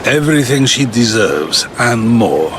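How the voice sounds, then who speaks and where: An actor playing a principled, defiant philosopher of ancient Athens in a video game cutscene, declaiming with resolve. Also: male voice, medium pitch, big pitch swings, a little slow, background noise. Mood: resolute. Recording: music behind the voice.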